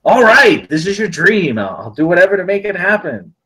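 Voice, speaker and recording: Man acting gruff, husky, irritable father. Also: sits high, sing-song, fairly quick, bright, forceful tone, heavily acted. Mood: pleading, reassuring. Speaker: actor at a table read. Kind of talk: live script table read.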